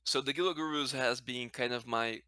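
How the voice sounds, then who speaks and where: A man's voice: mid-range voice, normal speed, bright, forceful tone, clean tone, no echo. A man from Brazil whose first language is Portuguese, answering as a guest in a casual interview, hesitant and often correcting himself. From Brazil, later a Spanish-speaking country.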